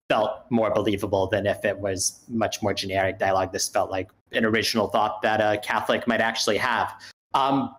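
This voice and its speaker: Guy with a lowish voice giving notes on a script, kinda hesitant, rewording himself as he goes. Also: fairly bright tone, rough recording. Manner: hesitant, circling back to rephrase points. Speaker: participant giving script feedback. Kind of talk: spoken critique in an online group discussion.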